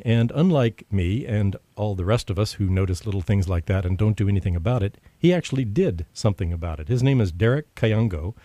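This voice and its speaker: A man's voice: on the low side, dark tone, no room sound. A man hosting a radio interview show, talking conversationally with frequent fillers. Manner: conversational, many fillers and restarts.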